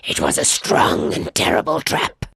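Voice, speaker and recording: A woman drops her voice low to play a hungry, proud storybook lion who growls and sneers at everyone around him. His voice rumbles low and unhurried, with slow, heavy words. It sounds close and dry. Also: big pitch swings, gravelly, a bit bright, breathy edge, dry recording, exaggerated voice. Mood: gruff menacing. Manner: deep growling drawl, dismissive. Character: big proud male lion, hungry and gruff.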